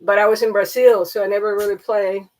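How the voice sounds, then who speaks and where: A woman's voice: mid-range voice, fairly even intonation, fast talker, darkish tone, rough recording. A middle-aged woman, hosting a live stream in a rambling, chatty way with many fillers and restarts. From Panama. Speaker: livestream host. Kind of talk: casual chat.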